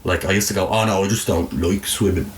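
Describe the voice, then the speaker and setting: A man's voice: low-pitched, steady tone, fast. A man with a Dublin accent telling personal stories in a candid, informal, sweary way, peppered with "you know". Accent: Dublin Irish. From Ireland. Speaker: interview guest, video maker. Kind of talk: casual personal storytelling. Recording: noticeable background noise.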